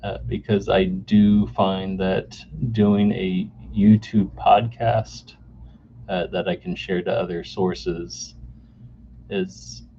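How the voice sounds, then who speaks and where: A man in his forties hosting a solo podcast off the cuff, halting with many fillers and restarts, recorded on a camera mic indoors. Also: low-pitched, level tone, warm tone. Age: 40s. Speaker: podcast host. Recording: dull lo-fi camera mic sound with faint noise.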